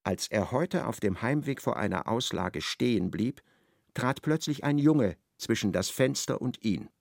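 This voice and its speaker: A man narrating a literary radio drama in an expressive, storytelling manner. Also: medium pitch, a little slow, vocal fry, no room sound, clean studio sound.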